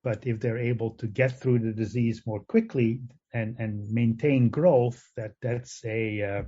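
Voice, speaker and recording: An older man from the Netherlands with a Dutch accent, a professor answering interview questions, pausing often with fillers and restarts. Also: mid-range voice, steady tone, warm tone, slightly rough. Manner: hesitant, many fillers and restarts. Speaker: guest, professor of animal genetics. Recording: dull lo-fi sound.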